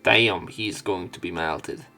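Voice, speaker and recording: A man with a very low voice narrates a visual novel for a let's play. He moves between reading the text and casual, sweary asides of his own. Faint music plays behind him. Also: takes it slowly, sharp tone.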